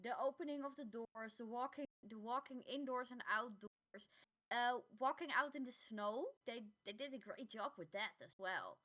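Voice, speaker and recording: A woman co-hosting a podcast in casual chat, halting and repeating words as she finds her phrasing. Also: a little high, sharp tone. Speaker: podcast co-host.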